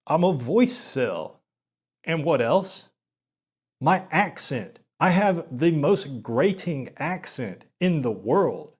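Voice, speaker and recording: Young man, Southern accent, slow, monotone, stammering, gloomy monologue. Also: on the high side, breathy edge. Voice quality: slow, monotone delivery with filler words and stammers. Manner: gloomy, repetitive, resigned. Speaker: video essayist. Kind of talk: self-deprecating monologue.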